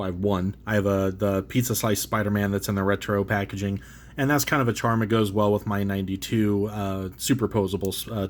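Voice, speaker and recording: A man with a cold, sounding congested, talks casually as he opens and reviews an action figure, full of fillers and restarts. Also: fairly low pitch. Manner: rambling, filler-heavy, self-correcting. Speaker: toy reviewer, channel host. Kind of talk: casual unboxing chat.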